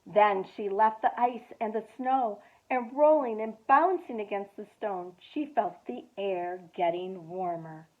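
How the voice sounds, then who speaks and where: A woman reads a children's storybook aloud as a youth director, expressive and dramatic, with well-timed pauses. Her voice sits mid-range for a woman. The audio is narrow and phone-like. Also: medium pitch.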